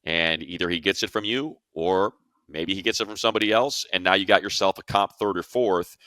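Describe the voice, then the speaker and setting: A man with an American accent and a very low, bright, forceful voice talks through sports analysis as a co-host. He reasons aloud in a conversational way, checking in with his listeners as he builds a point. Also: brisk, dry recording.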